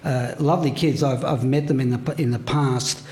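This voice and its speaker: An older man from Australia talks through his memories in a halting way, full of "uh"s and repeated starts. His voice sits in a middle pitch. It is a casual panel talk, recorded at a public venue. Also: fairly even intonation, mellow. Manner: halting, many fillers and restarts. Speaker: panel guest, writer.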